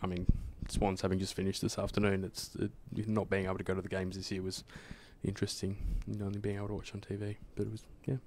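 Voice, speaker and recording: A young Australian man chatting in a relaxed, rambling way on a church panel into a handheld mic. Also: low voice, fast talker, hardly any pauses, slight background noise. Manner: relaxed, rambling, says sort of often. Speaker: panel guest. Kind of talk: casual panel chat. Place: church studio set, indoors. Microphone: handheld microphone.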